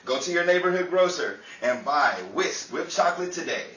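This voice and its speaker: A man playing a gushing 1930s radio commercial pitchman, savoring words with drawn-out emphasis. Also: lightly acted. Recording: echoey, distant mic, faint crowd noise.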